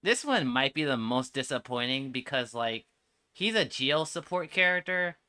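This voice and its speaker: A man's voice: mid-range voice, animated, normal speed, sharp tone, smooth. A man giving casual, slangy reaction commentary as a game streamer, with an exasperated manner.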